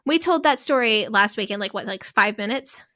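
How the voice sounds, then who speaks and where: A woman's voice: medium pitch, animated, normal speed, a bit bright. A woman co-hosting a podcast, telling a story in a casual, rambling way. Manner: storytelling, with frequent fillers and restarts. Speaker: podcast co-host.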